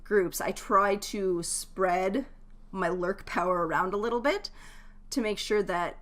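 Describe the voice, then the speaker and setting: A woman's voice: medium pitch, a little slow, a bit bright. A woman chatting casually and giving advice to viewers as a live game streamer, in a chatty, informal way.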